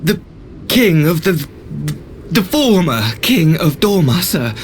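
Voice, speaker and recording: A jittery, deferential imperial soldier in a fantasy game. His hesitant speech jumps around in pitch, with faint noise behind. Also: sing-song, slow, exaggerated voice. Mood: nervous deference. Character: timid, nervous low-ranking male imperial soldier. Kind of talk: video game cutscene acting.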